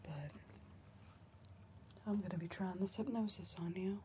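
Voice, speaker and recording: A young woman playing a wary, bossy criminal who acts as hypnotist in a whispered ASMR roleplay, soft, slow and deliberate. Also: lowish voice, slight background noise, close to an everyday voice. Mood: hypnotic, soothing. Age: young adult. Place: dim indoor room.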